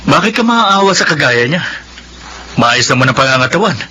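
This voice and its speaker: Sharp, high-voiced scolding Filipino son. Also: male voice, sing-song, fairly quick, a little hiss or hum, full character voice. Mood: reproachful, stern. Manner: forceful, reproachful. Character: indignant, scolding adult son, a stern young doctor. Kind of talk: Filipino radio drama acting.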